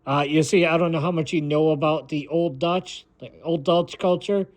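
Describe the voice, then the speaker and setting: American man, somewhat higher voice, blunt, dismissive, rambling vlog monologue, halting repeats. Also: fairly even intonation. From United States. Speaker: video maker.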